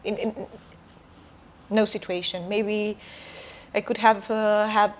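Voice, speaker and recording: A woman's voice: mid-range voice, little pitch movement, slow pace, a little hiss or hum. A woman from Romania, a native Romanian speaker and opera soprano, talking reflectively in a casual interview with many fillers and restarts.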